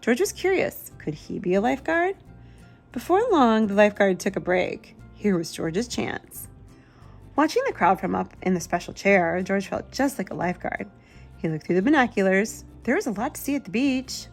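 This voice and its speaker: Female storyteller for young kids, medium pitch, expressive picture-book delivery, light music underneath. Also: normal speed, vocal fry. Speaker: children's book reader, channel host. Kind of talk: picture-book read-aloud with asides to a child.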